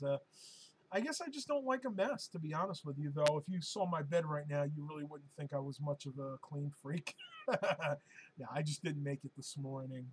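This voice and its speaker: A man with a hoarse, fading voice hosts a casual live-stream Q&A, answering viewer questions in a chatty, rambling way with plenty of ums and uhs. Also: on the high side, low-quality recording. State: hoarse, losing his voice.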